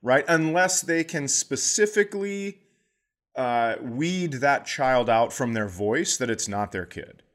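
A man hosting a podcast, telling casual comic stories in a profane, animated way. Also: mid-range voice, slow. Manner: profane, animated anecdotes with acted-out quotes. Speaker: podcast host, comedian.

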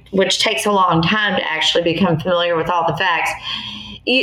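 A woman with an American accent answering interview questions as an attorney, in a measured, explanatory conversational way. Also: medium pitch, a bit bright. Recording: echoey, roomy sound.

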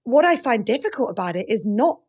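A woman's voice: a little high, very animated, a little fast, a little mellow, husky. Open, chatty woman. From United Kingdom. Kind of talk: casual podcast chat.

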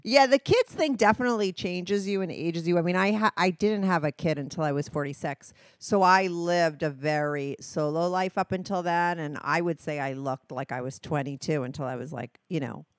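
A woman's voice: lowish voice, normal speed, hardly any pauses, no room sound. Blunt, laughing middle-aged woman host. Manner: candid, blunt, laughs often, swears. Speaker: podcast host. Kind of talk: casual interview chat.

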